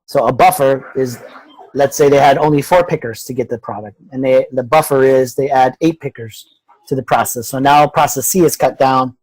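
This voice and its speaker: A man's voice: medium pitch, normal speed, darkish tone, no echo, poor audio. Male student with a dry, close sound. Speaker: student in a class. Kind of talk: tentative worked-example discussion. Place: video conference call.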